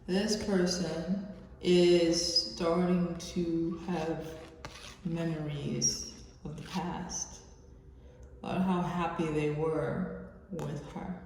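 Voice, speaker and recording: A woman host giving an intuitive love reading off the cuff, halting and drawn out, with pauses. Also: fairly low pitch, steady tone, a little mellow. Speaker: tarot reader, channel host. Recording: very echoey room, faint background noise.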